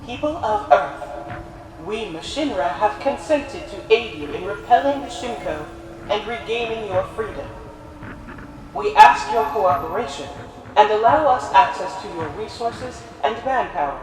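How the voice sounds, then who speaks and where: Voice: medium pitch, sing-song, measured pace, a crowd in the background. An actor playing a pompous alien delivering a formal public address in an audio drama. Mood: grand, persuasive. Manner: formal, proclamatory. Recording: echoey distant broadcast sound.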